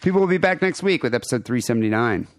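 A man's voice: medium pitch, animated, very fast, dry recording, studio quality. A man co-hosting a podcast, rambling casually with crude, irreverent jokes and show plugs. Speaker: podcast co-host.

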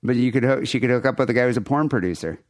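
A man's voice: mid-range voice, racing, dry recording, clean studio sound. A man co-hosting a podcast, rambling casually with crude, irreverent jokes and show plugs. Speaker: podcast co-host.

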